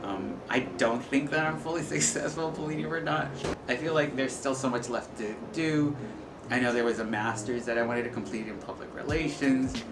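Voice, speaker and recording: A man's voice: mid-range voice, normal speed, noisy background. A man giving informal, candid interview answers in a hesitant, rephrasing way. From El Salvador until age seven, then New York state, US. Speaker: community center workforce and tech staffer, interviewee. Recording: echoey room with loud music bed. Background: loud music.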